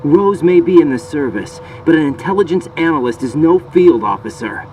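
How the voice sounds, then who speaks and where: A man's voice: on the high side, animated, normal speed, a little mellow, a little hiss or hum, lightly acted, rough recording. A man playing an intense, terse young male soldier. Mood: indignant. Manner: terse, questioning. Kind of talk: video game voice acting.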